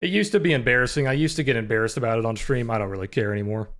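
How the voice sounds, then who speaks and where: A young man with a naturally deep voice that sometimes cracks high, hosting a trading livestream in relaxed, filler-heavy chat. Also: racing. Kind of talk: casual live-stream chat with chat readers.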